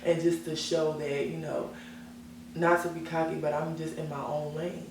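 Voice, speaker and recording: A woman's voice: low voice, level tone, moderate pace. Echoey young woman, earnest and self-assured. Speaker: interview guest, singer. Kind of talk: casual interview chat. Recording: echoey room, mic at a distance, background hum.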